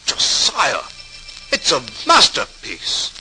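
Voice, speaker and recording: An actor playing an admiring, encouraging friend in a 1940s radio drama, with emphatic delivery and theatrical pauses. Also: male voice, on the high side, huge pitch swings, sharp tone, heavily acted. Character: Thomas, potter's admiring, encouraging male friend. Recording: old crackly 1940s radio recording.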